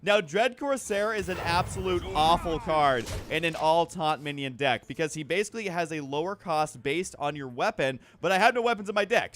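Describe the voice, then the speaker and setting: A man hosting a gaming video with casual, jokey commentary and drawn-out words. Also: a little high, fairly bright tone, slight background noise.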